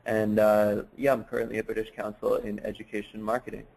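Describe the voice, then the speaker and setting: Man, lowish voice, monotone, webinar presenter, steady, lots of uhs. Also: vocal fry, slight background noise, rough recording. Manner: flat, measured, with many uhs. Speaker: education marketing presenter.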